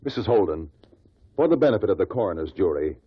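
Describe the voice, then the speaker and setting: An American man playing a courteous, probing investigator in a 1950s radio drama, questioning formally and pointedly with a husky voice. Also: deep voice, very animated, fast talker, exaggerated voice. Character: probing, courteous male amateur investigator at an inquest. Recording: dull lo-fi 1950s radio recording.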